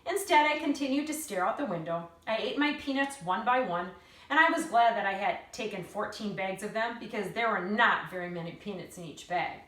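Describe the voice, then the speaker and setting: Earnest, indignant storybook girl, voiced by a woman, emphatic and solemn, animated pitch, echoey room. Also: brisk, natural voice. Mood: earnest, wistful. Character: earnest, indignant young girl narrating her troubles. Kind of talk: expressive read-aloud of a children's novel. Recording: roomy echo, distant mic.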